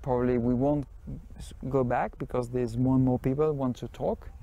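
A middle-aged man talks in an interview outdoors among trees. His voice is mellow and dark, and he halts often with fillers as he explains things. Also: medium pitch, fairly even intonation, dull audio. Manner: halting, many fillers. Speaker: festival organizer. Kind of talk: casual interview answers. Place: outdoors in a forest.

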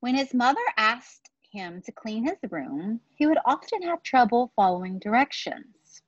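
A woman in her forties reads a children's book aloud at an unhurried pace. Her pitch moves around a lot as she explains things to young listeners. The sound is close and dry, slightly muffled and lo-fi. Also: expressive, no room sound. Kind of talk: storytime read-aloud with casual asides to children. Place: outdoor patio beside trees.

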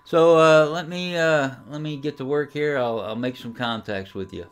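A man giving a casual software tutorial, unscripted and a little hesitant as he thinks aloud while working. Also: a little high, normal speed, soft background music. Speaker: amateur radio enthusiast, tutorial host.

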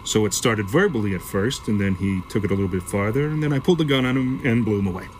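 Guy acting a jaded sci-fi organ dealer, lowish voice, gruff and sweary, bit of noise behind. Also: quick pace, close to an everyday voice, poor audio. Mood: matter-of-fact, jaded. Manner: gruff, profane, offhand storytelling. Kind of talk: sci-fi audio drama acting.

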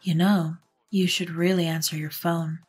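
Low, husky, earnest witch played by a woman. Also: flat delivery, moderate pace, soft background music. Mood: businesslike. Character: earnest, persistent female witch, pleading go-between. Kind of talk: audio roleplay drama acting.

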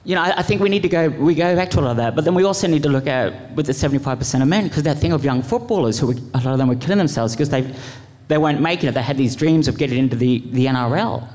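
A man speaking informally as a panelist, earnest and impassioned, in a halting, rambling way. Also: on the high side, a little mellow. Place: large event venue, live panel. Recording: echoey hall with long reverb.

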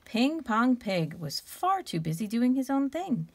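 Animated woman reading a storybook aloud. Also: on the high side, breathy edge. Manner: expressive, stretches key words, pauses to ask questions.

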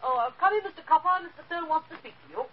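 A woman playing a crime boss's brisk, callous accomplice, flippant and eager, in 1940s radio style. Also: extremely high pitch, lively intonation. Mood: cheerfully callous. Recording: dull, noisy 1940s radio recording.

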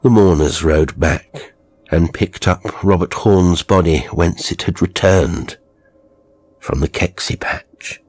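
A man's voice: low voice, slow, darkish tone, slightly rough, no echo. A man narrating a horror story in a grave, theatrical, dramatic scripted style.

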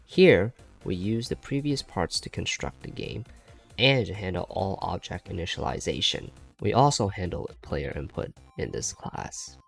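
A man's voice: low-pitched, animated, measured pace, gravelly. A man giving scripted tutorial narration as a game developer presenting his project, on a close microphone. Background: faint background music.

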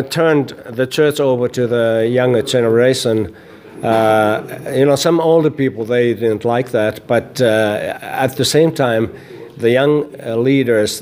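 An older man from Finland speaks haltingly, pausing often over his words. He is recorded on a lapel mic in an indoor hallway of a conference venue. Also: mid-range voice, a little mellow. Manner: hesitant, halting with fillers. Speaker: church leader. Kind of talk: casual interview.

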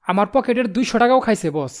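Goofy cartoon crook played by a man: rapid, swooping delivery, cheeky and grumbling. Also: very high voice, animated, over music. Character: grumbling, goofy male kidnapper henchman. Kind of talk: animated cartoon voice acting.

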